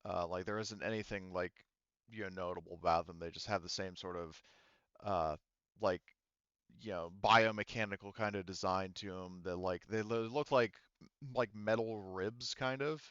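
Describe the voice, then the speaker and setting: A man with a fairly low, clear voice acts as game master, explaining scenes conversationally and feeling his way through phrases. The sound is very dry and a little dull and lo-fi. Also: moderate pace, fairly bright tone, no echo. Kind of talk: improvised tabletop game narration.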